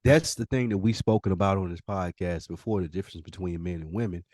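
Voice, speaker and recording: A man's voice: low voice, quick pace, a little mellow, no echo, clean studio sound. An American man co-hosting a podcast, telling things in a casual, direct and emphatic way. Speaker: podcast co-host. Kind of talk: casual chat and storytelling.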